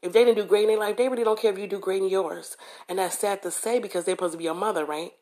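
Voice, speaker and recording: A hoarse woman hosting a motivational podcast, talking to listeners in a conversational way and often checking in with "okay?" and "you know". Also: mid-range voice, fairly even intonation, very fast, darkish tone. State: hoarse from a cold. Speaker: podcast host. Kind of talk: motivational monologue.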